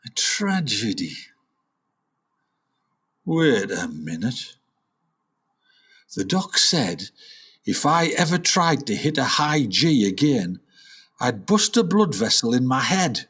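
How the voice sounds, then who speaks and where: Radio comedy role for a man: worn-out older father and dreamer, animated swings of pitch, rough-edged voice, dramatic self-talk. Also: exaggerated voice. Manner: dramatic musing, talking to himself. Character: weary, delusional older father, failed jazz musician, grandly hopeful. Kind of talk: comic radio play acting.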